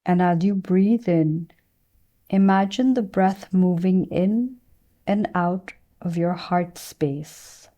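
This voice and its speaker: A woman leads a guided meditation in a soft, very mellow tone that sits a little low. She speaks very slowly and gently, leaving room between phrases as she gives soothing instructions. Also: highs cut off. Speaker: meditation and yoga teacher.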